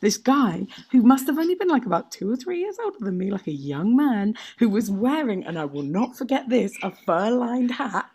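A woman's voice: on the high side, big pitch swings, normal speed, few pauses, a little mellow, husky. A woman telling casual comic anecdotes as a podcast guest, emphatic, sweary and self-mocking.

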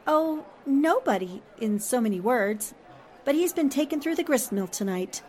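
Woman playing a higher-pitched, poised newspaperwoman. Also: expressive, moderate pace, a little mellow, faint music behind. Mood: warm, wry. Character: shrewd, sympathetic young newspaperwoman. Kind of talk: 1940s-style radio drama acting.

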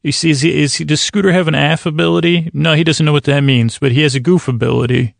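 A man hosting a bedtime sleep podcast in a meandering, halting monologue that repeats itself and trails off. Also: a little high, steady tone, vocal fry, no echo, clean studio sound. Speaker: podcast host.

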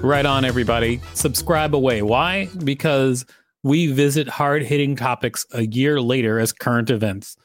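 A man's voice: medium pitch, normal speed, no echo, soft background music. A man hosting a podcast, reading an article aloud and adding casual commentary. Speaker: podcast host.

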